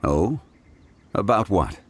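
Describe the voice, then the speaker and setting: A man voicing a calm, ancient god in human guise in an anime game dub, speaking in a measured, formal and wistful way. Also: fairly low pitch, slight background noise. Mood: calm, courteous. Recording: music behind, recorded off a tablet.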